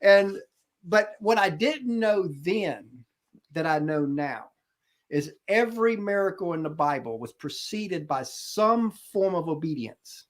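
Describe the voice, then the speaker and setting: A middle-aged man with a high-set voice speaks in casual conversation. He tells personal stories with earnest conviction, like a testimony, and voices quoted remarks as he goes. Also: lively intonation, slow pace. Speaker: talk show co-host.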